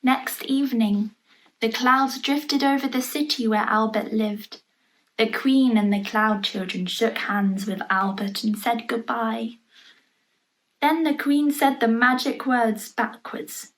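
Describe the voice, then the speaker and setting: A woman reads a picture book aloud for children in a high voice. She takes her time, with a very slow, expressive storytelling delivery, and pauses to point out what is in the pictures. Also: rough recording. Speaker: storybook reader.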